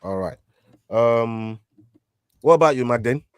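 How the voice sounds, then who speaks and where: A man's voice: mid-range voice, lively intonation, measured pace, clean tone, no echo. A man hosting a casual fight prediction show, leading the picks with many fillers and restarts. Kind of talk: casual betting picks chat.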